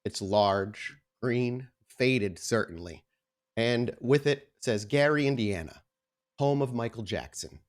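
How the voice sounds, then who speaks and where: A man's voice: medium pitch, expressive, slow pace. Man hosting a tabletop actual play show. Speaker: host and game storyteller of an actual play podcast. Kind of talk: casual announcements, then slow scene-setting narration.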